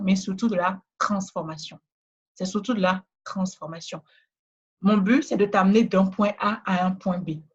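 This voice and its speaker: A woman trainer giving a persuasive, repetitive sales pitch in a webinar, speaking straight to the listener, with a West African French accent. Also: highs cut off. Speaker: trainer selling a course.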